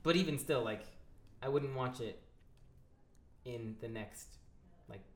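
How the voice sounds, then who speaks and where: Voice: mid-range voice, slow. A podcast co-host chatting casually and rambling through a film review in a giggly, informal way. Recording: echoey room with faint background noise.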